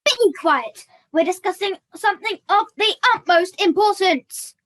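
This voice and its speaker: Young woman playing haughty high-voiced princess puppet. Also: animated, measured pace, fairly bright tone, heavily acted. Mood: indignant. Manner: pompous, formal, articulate. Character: haughty, bossy princess puppet proposing a split. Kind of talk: scripted puppet comedy dialogue.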